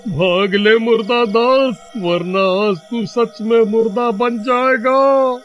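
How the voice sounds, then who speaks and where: Man playing a high-pitched, stammering coward. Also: fairly even intonation, slow pace, fairly bright tone, muffled recording, faint music behind, heavily acted. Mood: frightened. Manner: stammering, whimpering, pleading, melodramatic. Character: panicky, cowardly village man fleeing a witch. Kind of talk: animated horror-comedy story acting.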